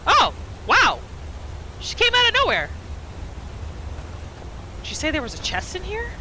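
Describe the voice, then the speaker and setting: A woman's voice: very high voice, huge pitch swings, measured pace, noisy background. A woman narrating a roleplay game playthrough, reacting with exclamations and talking to the game's characters. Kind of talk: reactive let's-play commentary.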